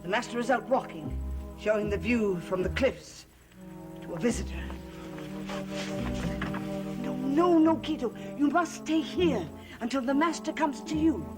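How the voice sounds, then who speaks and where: An actress playing an anxious, devoted female servant in a gothic soap opera, speaking gravely and formally. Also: on the high side, a little slow, pauses often, close to an everyday voice. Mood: urgent, hushed. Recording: dramatic music behind the voice.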